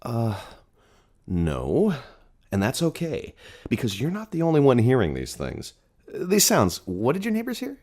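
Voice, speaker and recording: A male literary podcaster in an audio drama. He is polite and a little awkward, earnest in his questions, with an animated, mid-pitched voice. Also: measured pace. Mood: reassuring, probing. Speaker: interviewer.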